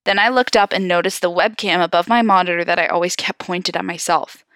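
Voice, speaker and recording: Bright-voiced woman reading a story aloud. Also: on the low side, fairly quick, no echo, studio quality. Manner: giggly, animated in asides. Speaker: podcast host reading horror stories aloud. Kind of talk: story reading with casual amused asides.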